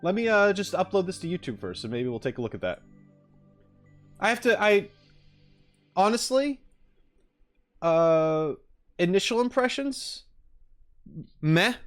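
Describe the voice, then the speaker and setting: Lively male streamer, casual and rambling. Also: sits high, measured pace, long pauses, soft background music. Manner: rambling, slangy. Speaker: streamer hosting a live chat. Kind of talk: casual livestream chat with viewers.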